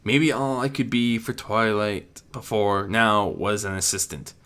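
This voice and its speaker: A man's voice: on the low side, a little slow, fairly bright tone, clear, resonant tone. A man voicing a small young male dragon, an earnest hero who is frightened yet determined, in a dramatic story reading. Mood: self-doubting. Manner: stammering, earnest self-encouragement. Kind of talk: dramatic audiobook character voice.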